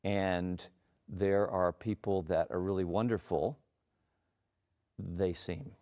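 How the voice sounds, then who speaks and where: A man's voice: low voice, steady tone, takes it slowly, dark tone. A middle-aged man guest on a TV morning show, speaking sincerely and reflectively as a parent. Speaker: parent and ballet creator. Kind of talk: TV interview.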